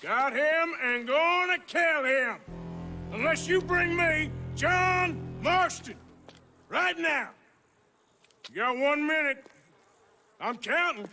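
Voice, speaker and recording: An actor playing a menacing American outlaw gunman with a protective streak, in gruff video game drama style. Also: very high voice, animated, slow, pauses often, pushed and bright, soft background music, full character voice. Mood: loud menace.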